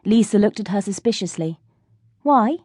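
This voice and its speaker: Low-pitched woman narrating an audiobook. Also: moderate pace, mellow, dry recording, studio quality. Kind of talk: scripted fiction narration.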